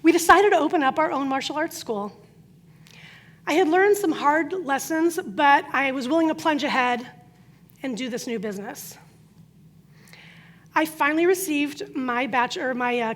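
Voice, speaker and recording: High-pitched middle-aged woman, croatian first language, earnest story talk w/ dramatic pauses. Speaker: speaker. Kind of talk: prepared inspirational talk.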